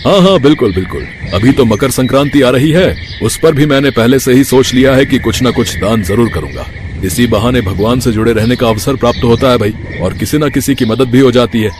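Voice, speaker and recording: A man voicing a pious, charitable wealthy village merchant in a cartoon, gracious and deliberate. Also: mid-range voice, noisy background, close to an everyday voice. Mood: pious, pleased.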